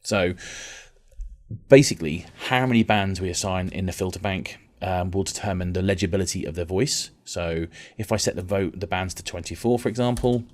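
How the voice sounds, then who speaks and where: A man explaining music software as a tutor, in an informal, rambling, conversational way. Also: deep voice, moderate pace. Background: synth and vocoder music.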